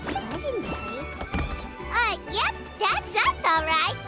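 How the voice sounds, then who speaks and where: A woman voicing a small, chirpy flying fairy-like girl companion with a childlike, squeaky voice for an English game dub. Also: huge pitch swings, takes it slowly, heavily acted. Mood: sheepish. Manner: talks about self in third person. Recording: loud music under voice.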